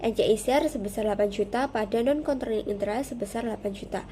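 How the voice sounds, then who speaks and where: Young woman presenting over faint noise, bright voice. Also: fairly high pitch, quick pace. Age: young adult. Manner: formal, methodical. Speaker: student presenter. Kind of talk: prepared class presentation, explaining step by step.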